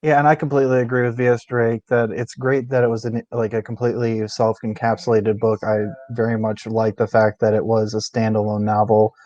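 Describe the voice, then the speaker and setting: A man's voice: fairly low pitch, little pitch movement, brisk, few pauses, a little mellow, no room sound, highs cut off. A man co-hosting a book discussion stream in a casual, informal, conversational way. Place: indoors, live stream.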